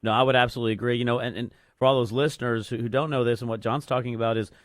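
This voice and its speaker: A man hosts a business talk radio show and talks quickly in a casual, conversational way. He often restarts and repeats words as he goes. His voice is dry and close, with no room sound. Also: medium pitch, fairly even intonation, dry recording. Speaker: guest radio host.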